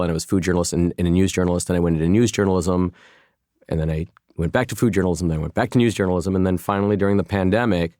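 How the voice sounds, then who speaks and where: A man's voice: deep voice, darkish tone, no echo, clean studio sound. A man in his forties from Chicago, a former journalist being interviewed, talking thoughtfully with frequent restarts and fillers. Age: 40s. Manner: thoughtful, many restarts and "you know" fillers. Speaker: guest, former journalist turned food entrepreneur. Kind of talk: casual interview conversation.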